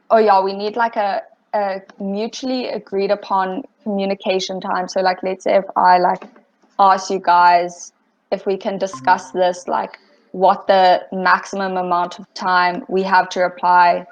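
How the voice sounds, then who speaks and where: A woman's voice: medium pitch, flat delivery, a little slow. A young woman with a slight British accent, explaining a group assignment in a rambling way with lots of "like" and "um" on a video call. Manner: rambling, many fillers like "like" and "um". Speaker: student in project group. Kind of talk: casual video call meeting. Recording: muffled video call audio.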